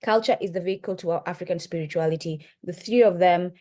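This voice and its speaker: A woman's voice: quick pace, dark tone. Passionate Kenyan woman lecturing. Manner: emphatic, rising pitch on key points, frequent "right?". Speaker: podcast co-host presenting. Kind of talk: impassioned lecture-style talk.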